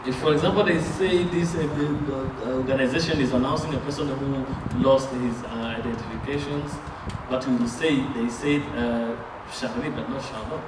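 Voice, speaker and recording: A man interpreting a sermon line by line, halting, with fillers and restarts. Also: medium pitch, fairly even intonation, distant mic. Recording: echoey room, picked up from afar, noisy.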